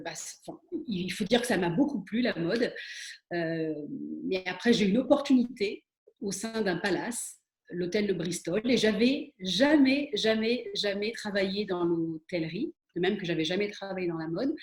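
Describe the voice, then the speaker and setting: A middle-aged woman speaks in a mid-range voice, recounting her career in a relaxed interview. She hesitates often, restarting phrases and filling pauses. The room gives her voice a noticeable echo. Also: mid-range voice. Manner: hesitant, many fillers and restarts. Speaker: interview guest, spa and wellness expert. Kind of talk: conversational interview answer. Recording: echoey room.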